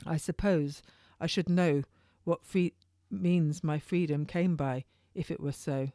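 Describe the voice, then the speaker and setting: A woman's voice: low voice, a little slow, warm tone, dry recording, clean studio sound. A woman author reading her own historical novel as first-person narration, in an informal read-aloud way with occasional stumbles.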